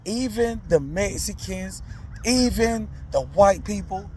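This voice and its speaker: A man with a rather high voice tells his own life story in loose, slangy, animated talk. He circles back, repeats phrases, and keeps checking that the listener follows. A faint noise sits under the recording. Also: slow. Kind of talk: candid testimonial talk.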